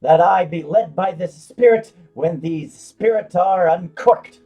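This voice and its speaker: A man's voice: sits high, unhurried, dull audio. A man playing an earnest, fussy tavern drunk in a fantasy comedy audio drama, speaking in theatrical, mock-solemn archaic style. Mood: fussy, determined.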